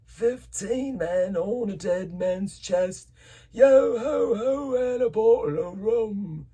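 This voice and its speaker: A man voicing a drunken, tyrannical old sea captain in a storybook, bellowing slowly and menacingly in song and command. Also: high voice, lively intonation, dark tone, breathy edge, pitched above the performer's own voice, heavily acted. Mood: drunken, rowdy singing.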